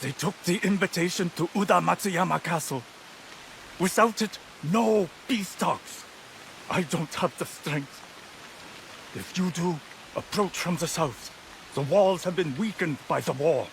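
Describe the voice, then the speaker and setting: A creaky, high-voiced wounded envoy. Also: male voice, measured pace, fairly bright tone, slight background noise. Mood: weak, urgent. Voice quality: creaky, strained. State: weak, strained. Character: wounded, weakened male peace envoy, anxious and urgent. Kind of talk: video game cutscene acting.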